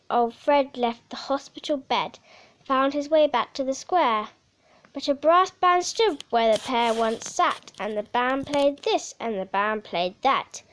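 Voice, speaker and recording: A woman reading a children's picture book aloud in a theatrical, measured way, with dramatic emphasis. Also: sits high. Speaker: story reader.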